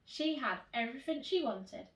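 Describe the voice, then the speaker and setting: A woman teacher reading a children's storybook in an animated, expressive way, addressing young children directly. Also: sits high, normal speed. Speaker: primary school teacher reading to pupils. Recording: roomy echo, distant microphone.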